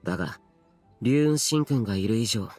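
A man's voice: fairly low pitch, lively intonation, moderate pace, a little mellow, breathy edge, faint music behind. A man voicing an aloof, solemn immortal warrior guardian in a video game, speaking in grave, terse, archaic phrases. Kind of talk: dramatic video game dub acting.

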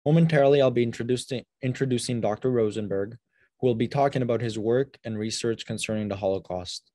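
A man's voice: mid-range voice, fast talker, dark tone, no room sound. A young man from Israel, a student leader, giving an earnest prepared speech with small restarts, recorded indoors on a video call. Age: young adult. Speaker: student president. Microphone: webcam on a video call.

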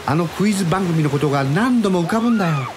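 A man's voice: high voice, animated, fast talker, noisy background. An actor playing an easygoing, wistful man in a radio drama, speaking casually and reflectively. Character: easygoing, wistful man confiding about lost love. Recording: music behind the voice.

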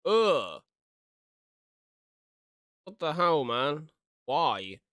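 A young man reacts casually on a close desktop mic in a small room at home. His voice sits a little high and he takes his time, joking and exclaiming in dread as he goes. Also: very animated, very slow, a bit bright, no room sound. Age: young adult. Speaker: video creator reacting to horror. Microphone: large desktop condenser microphone up close.

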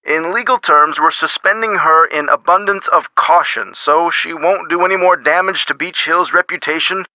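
An actor playing a genial, avuncular middle-aged lawyer in a video game, talking in a chummy, theatrical way with folksy turns of phrase. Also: male voice, fairly high pitch, moderate pace, hardly any pauses, bright, forceful tone, dry recording, rough recording. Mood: stern.

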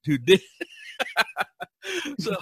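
A man hosting a podcast, chatting casually and halting often with "you know". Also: dry recording. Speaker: podcast host. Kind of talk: casual chat, telling stories.

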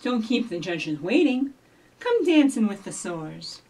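A middle-aged woman hosting a children's storytime, giving simple instructions and reading rhymes to young children in an animated way, recorded by a camera a few feet away. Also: mid-range voice, measured pace. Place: small indoor office or library room with block walls. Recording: distant camera microphone.

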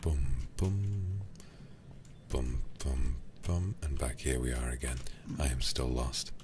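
A man streaming a game ASMR-style into a close microphone, speaking softly and intimately with frequent whispering, in an affectionate, languid manner. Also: extremely low pitch, fairly even intonation, slow pace, over music. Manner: breathy, often whispered, intimate; affectionate, doting toward viewers. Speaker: livestreamer.

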